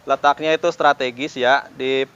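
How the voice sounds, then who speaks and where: A man's voice: mid-range voice, fairly even intonation, normal speed, fairly bright tone, smooth, highs cut off. A man vlogging from a roadside drink stall, chatting casually with the vendor and prompting him with short questions. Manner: chatty, keeps prompting with "ya, Bang?". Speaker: food vlogger interviewing a vendor. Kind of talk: casual street-food interview.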